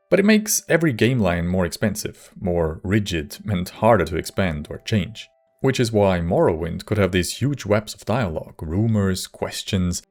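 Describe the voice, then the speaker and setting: Low-voiced man narrating a video essay. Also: darkish tone. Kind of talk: scripted narration.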